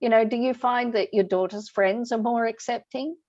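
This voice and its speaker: A woman's voice: on the high side, fairly even intonation, moderate pace, a little mellow, dry recording. A middle-aged woman hosting a conversation, talking things through reflectively and asking her guest questions. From Australia. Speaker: host. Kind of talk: conversational discussion. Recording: slightly dull lo-fi audio.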